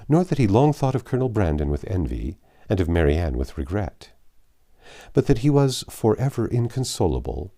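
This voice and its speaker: A man narrating a classic novel aloud as an audiobook reader, with measured, expressive storytelling phrasing. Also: deep voice.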